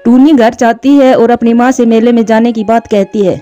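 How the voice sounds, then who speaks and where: A woman's voice: a little high, fairly even intonation, normal speed, mellow, dull audio, soft background music. A woman narrating a scripted children's story.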